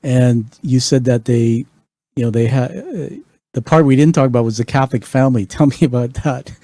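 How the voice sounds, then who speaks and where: English guy hosting an interview, warm mellow voice, chatty and eager, dry close sound. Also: medium pitch, a little fast, no echo. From England. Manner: enthusiastic, rambling with restarts and praise. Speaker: podcast host. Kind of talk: conversational interview.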